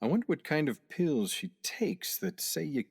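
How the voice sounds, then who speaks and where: A man acting in a radio play as a bumbling, overconfident gentleman who hesitates, then smoothly reassures. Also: a little high, animated, moderate pace, heavily acted. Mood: puzzled, hesitant.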